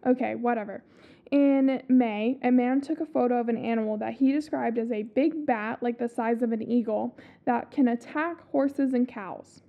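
Podcast co-host, woman, raspy sick voice, tinny phone-like sound, chatty and profane. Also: fairly high pitch, moderate pace. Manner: swears a lot, stumbles over foreign names. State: sick, scratchy voice. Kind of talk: casual chat reading a story.

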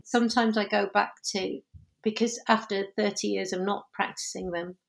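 A woman's voice: mid-range voice, fairly even intonation, normal speed, creaky. A woman actor being interviewed, talking in a chatty way, laughing often and correcting herself. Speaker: actor and dancer guest. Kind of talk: conversational interview.

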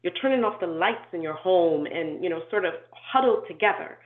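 A woman who grew up in Nigeria, talking through her life story in an interview, thoughtful and measured, with frequent ums and restarts. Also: on the low side, animated. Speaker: inclusion and diversity consultant, guest. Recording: tinny echoey phone-like call audio.

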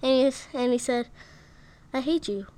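A woman's voice: sits high, normal speed, warm tone, clear, resonant tone. A woman co-hosting a casual podcast, playful and teasing. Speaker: co-host. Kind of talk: casual chat.

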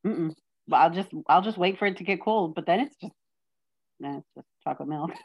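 A woman's voice: lowish voice, fairly quick, slightly rough, dull audio. A woman from Texas chatting as a podcast guest, joking and poking fun at herself. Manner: joking, self-deprecating storyteller. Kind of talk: casual chat.

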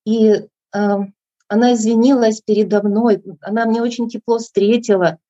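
A woman with a mellow, dark voice shares her story in a measured, reflective way. The audio is dry and dull, heard through a video call. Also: a little high, steady tone, dry recording. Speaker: meeting speaker. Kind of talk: personal recovery share.

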